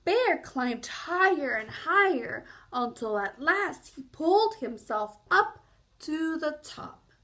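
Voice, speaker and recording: A woman's voice: high-pitched, very animated, takes it slowly, sharp tone. A woman reading a picture book aloud to young children, stopping to explain and ask questions. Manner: explanatory, asks listeners questions. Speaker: children's storybook reader.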